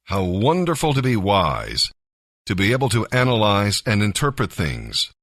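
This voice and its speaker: Deep-voiced man reading scripture, expressive. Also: normal speed, no room sound, studio quality. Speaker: Bible reader, narrator.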